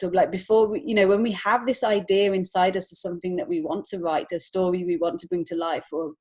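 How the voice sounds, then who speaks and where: A woman's voice: mid-range voice, a little mellow. A woman speaking as an interview guest in a reflective, conversational way, earnest and feeling her way through phrases. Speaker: podcast guest, children's author and illustrator.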